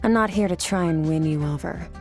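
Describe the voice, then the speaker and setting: An actress playing a cool, detached female swordmaster in a game, speaking in terse, measured lines. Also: lowish voice, a little mellow, faint music behind, natural voice. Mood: aloof. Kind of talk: game dialogue.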